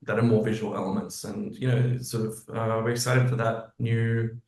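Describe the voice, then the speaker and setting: A man chatting casually as an interview guest, halting and often restarting his thoughts mid-sentence. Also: on the low side, fairly even intonation, darkish tone. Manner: halting, self-interrupting, rambling. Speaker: guest, musical theatre writer. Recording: echoey room.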